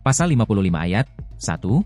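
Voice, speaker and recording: Male news voice-over, rapid-fire scripted read, somewhat mellow tone. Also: lowish voice, big pitch swings, soft background music. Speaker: news narrator.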